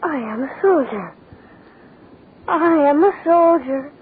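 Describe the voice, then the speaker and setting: An actor in an old-time radio horror play, playing a panicked military ruler whose mind is slipping toward childhood, with a halting, repetitive stammer. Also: male voice, very high voice, expressive, heavily acted. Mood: childlike terror. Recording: muffled, hissy old radio recording.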